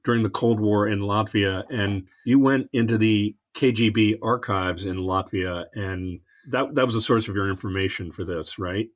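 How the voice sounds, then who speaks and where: Low-voiced man hosting an interview. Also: moderate pace, few pauses. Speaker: podcast host. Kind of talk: conversational interview.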